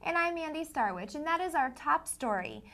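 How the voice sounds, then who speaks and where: High-voiced young female anchor. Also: lively intonation, moderate pace. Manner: bright, read-aloud anchor cadence. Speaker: news anchor. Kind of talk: scripted news reading.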